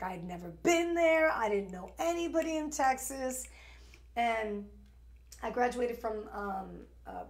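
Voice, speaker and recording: Shopping host, woman, 40s, East Coast accent, talkative, lots of fillers, mid pitch, roomy studio sound. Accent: East Coast American. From New York. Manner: chatty, rambling with fillers and restarts. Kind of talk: live shopping stream chat.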